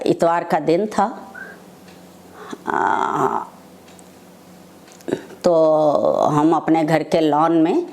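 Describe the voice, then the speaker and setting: An older woman, telling personal devotional stories as a devotee, in short phrases with pauses between them. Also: deep voice, warm tone. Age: older, over 60. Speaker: devotee sharing personal testimony.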